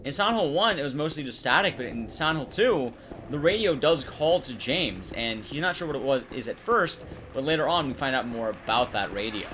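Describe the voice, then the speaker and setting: A man's voice: medium pitch, animated, a little fast, a bit bright, slight background noise. A man giving casual live gameplay commentary in a conversational way, explaining things to his audience. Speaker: streamer and commentator.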